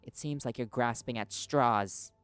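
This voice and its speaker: A man's voice: mid-range voice, unhurried, fairly bright tone, smooth, dry recording, faint music behind, natural voice. A man playing a stubborn, guarded reporter held captive in an audio roleplay, arguing back in loyal defiance. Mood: frustrated, dismissive. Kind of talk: dramatic audio roleplay acting.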